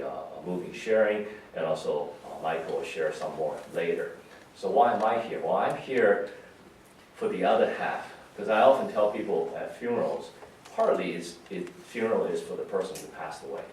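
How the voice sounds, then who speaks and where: A man speaking as a pastor, giving a conversational memorial sermon in a halting, informal, reflective way. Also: low voice, darkish tone. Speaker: pastor giving a funeral message. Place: large reverberant room at a memorial service. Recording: very echoey hall, distant, faint noise.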